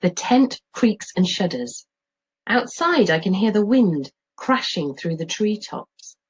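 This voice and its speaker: Animated, slightly husky woman reading aloud. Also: mid-range voice, takes it slowly, poor audio. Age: middle-aged. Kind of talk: expressive children's story narration and lesson.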